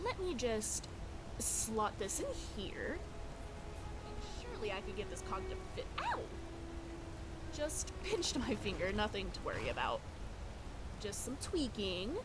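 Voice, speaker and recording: A woman voicing an eager, scatterbrained young tinkerer companion in a video game, chatty, playful and expressive. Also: medium pitch, measured pace, pauses often, very noisy. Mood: tinkering.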